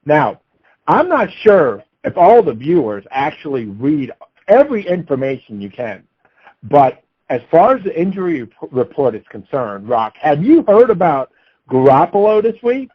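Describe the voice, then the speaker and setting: A man's voice: on the high side, sing-song, normal speed, breathy edge, muffled recording. A man talking through sports analysis and betting picks in an unscripted way, as an analyst.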